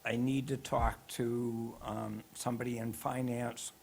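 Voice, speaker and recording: A man giving a cable advisory board update at a town meeting, hoarse from losing his voice, hesitant with many fillers. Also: medium pitch, level tone, unhurried, a little mellow, slight background noise. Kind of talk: report at a public meeting.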